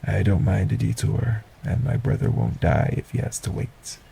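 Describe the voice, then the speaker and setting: Man voicing a courteous sinister stranger, very deep, heavy vocal fry, breathy, formal, audio roleplay. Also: moderate pace, slight background noise. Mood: smooth, courteous. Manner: formal, courteous, softly menacing. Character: polite sinister male stranger, secretly a kidnapper.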